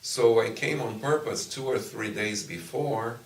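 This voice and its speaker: Man from Brazil, Portuguese native speaker, very low voice, unhurried delivery, echoey room, mic at a distance. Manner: slow, thoughtful, with frequent "you know" and restarts. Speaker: university professor. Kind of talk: interview answers.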